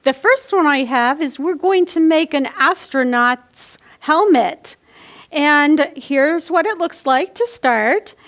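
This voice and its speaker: A woman with a high voice hosts a storytime for young children. She speaks at an unhurried, slow pace and explains things step by step in simple words. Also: expressive, a bit bright. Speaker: library storytime host. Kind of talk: children's storytime reading and craft instruction.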